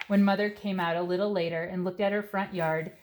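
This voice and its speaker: A woman reading a children's story aloud as narrator, with expressive, deliberate phrasing. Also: lowish voice, slight background noise. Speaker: storybook reader.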